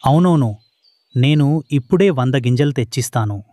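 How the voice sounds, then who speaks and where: A man's voice: mid-range voice, animated, brisk, mellow, no room sound, natural voice. Man voicing an earnest, anxious cartoon pigeon. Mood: grateful. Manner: earnest, innocent, pleading. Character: poor, trusting, anxious father pigeon. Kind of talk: children's cartoon voice acting.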